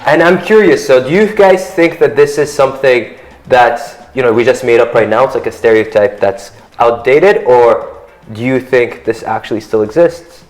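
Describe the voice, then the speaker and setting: A young adult man hosting a casual panel discussion, asking curious questions in a relaxed, slangy way. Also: medium pitch, moderate pace, poor audio. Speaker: host.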